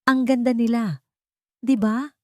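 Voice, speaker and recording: A woman voicing a frail, gentle elderly mother who speaks tenderly in an audio drama. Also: a little high, steady tone, a little slow, no room sound, clean studio sound, pitched above the performer's own voice, natural voice. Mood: warm, fond.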